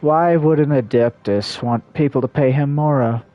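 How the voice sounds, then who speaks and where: A woman's voice: very deep voice, moderate pace, faint music behind. A woman giving laconic, skeptical asides as casual livestream commentary over a game, on a dull lo-fi microphone.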